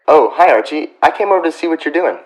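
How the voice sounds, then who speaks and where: A man's voice: on the high side, lively intonation, fast, no room sound, rough recording. An actor playing a wisecracking, whiny, pestering teenage boy sidekick in old-time radio comedy style. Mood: cheeky.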